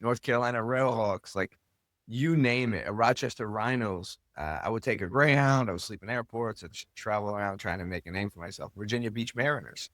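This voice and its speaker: Low-voiced American man telling stories casually. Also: a bit bright, no echo, clean studio sound. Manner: candid, colloquial, swears casually. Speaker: podcast guest, soccer club owner and coach. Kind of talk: casual storytelling in an interview.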